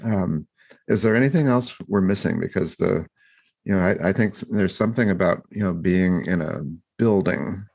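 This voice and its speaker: A man co-hosting a podcast, talking through his thoughts in a reflective, halting way with many restarts and fillers. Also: low-pitched, vocal fry, a little mellow. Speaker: podcast co-host. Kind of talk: reflective casual discussion.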